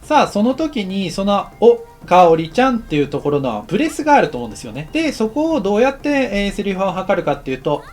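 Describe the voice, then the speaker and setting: Man, tutorial instructor, lively varied pitch, casual explaining, short halting phrases, light background music. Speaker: anime director giving a tutorial.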